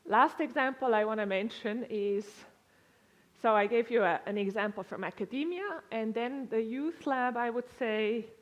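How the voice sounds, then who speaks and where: A woman's voice: on the high side, normal speed, lo-fi sound. A woman with a Swiss-tinged accent gives a conference presentation to a live audience in a lecture room, talking conversationally with frequent "uh"s and restarts. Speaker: conference speaker.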